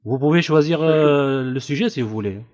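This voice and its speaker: Mellow, dull-sounding man explaining haltingly. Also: on the high side. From Italy. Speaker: debate room host. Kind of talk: casual phone conversation. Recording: dull lo-fi recorded call.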